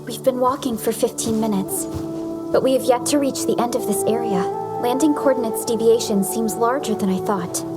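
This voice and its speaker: A woman voicing a composed, formal supervisor over loud music. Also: fairly high pitch, close to an everyday voice. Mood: resolute. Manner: formal, measured, businesslike. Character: composed young female supervisor briefing her team. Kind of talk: video game voice acting, mission briefing. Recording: loud game music behind.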